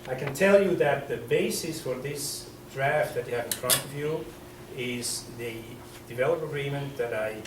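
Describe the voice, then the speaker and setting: A man's voice: mid-range voice, normal speed, hardly any pauses. Swiss-accented man presenting in a hall. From Switzerland. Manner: hesitant, many ums and restarts. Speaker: developer. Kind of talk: presentation at a public meeting. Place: council chamber. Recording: echoey meeting room from far off, noisy.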